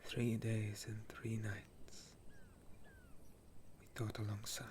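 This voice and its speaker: Man voicing a slow, low, whispery weary elf hero. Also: completely flat delivery, noisy background. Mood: somber, grieving. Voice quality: soft, breathy half-whisper. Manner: slow, gentle, wistful storytelling. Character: weary, tender legendary male sun elf war hero. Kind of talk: intimate ASMR roleplay monologue, half-whispered.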